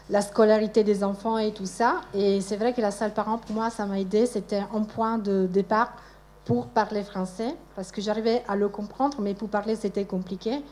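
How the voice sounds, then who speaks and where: A woman with an Italian accent whose first language is Italian, speaking calmly as a parent at a school event. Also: medium pitch.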